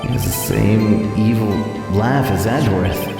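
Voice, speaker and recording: A man's voice: lowish voice, measured pace, creaky, over music. Man playing an earnest young lawyer, echoey. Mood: worried. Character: earnest, anxious young male defense lawyer. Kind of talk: courtroom drama game voice acting. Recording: roomy, echoing voice.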